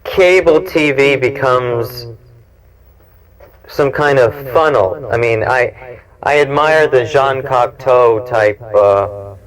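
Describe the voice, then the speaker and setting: A man in his forties hosting a show, talking informally in a musing, halting, rambling way. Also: mid-range voice, low-quality recording. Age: 40s. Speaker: cable TV show host and producer. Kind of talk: informal interview talk and reminiscence. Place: small equipment-packed studio. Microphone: clip-on mic.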